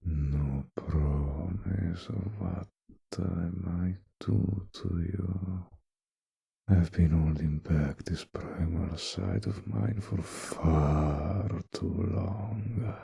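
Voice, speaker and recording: Ancient male vampire played by a man for roleplay audio: very low, heavy gravel, courtly seduction with predatory menace, unhurried. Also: dark tone, heavily acted. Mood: hungry, menacing. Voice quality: deep, gravelly, breathy, dropping into whispers. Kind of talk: intimate roleplay audio drama.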